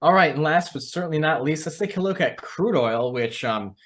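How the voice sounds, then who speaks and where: A man's voice: on the high side, lively intonation, fairly quick, a bit bright. A man hosting a financial discussion, giving conversational market commentary and putting questions to an analyst.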